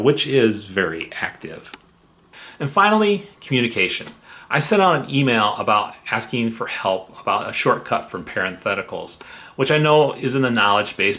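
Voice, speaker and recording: A man's voice: mid-range voice, a bit bright. A man presenting a semi-scripted review, speaking in an emphatic, enthusiastic way with conversational asides. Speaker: software reviewer.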